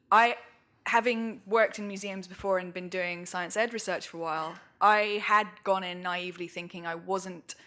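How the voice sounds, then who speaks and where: A woman researcher speaking informally and self-deprecatingly as she answers questions after a talk. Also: fairly low pitch. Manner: informal, self-deprecating, animated slang phrasing. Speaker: guest speaker, museum education researcher. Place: indoor talk venue with audience.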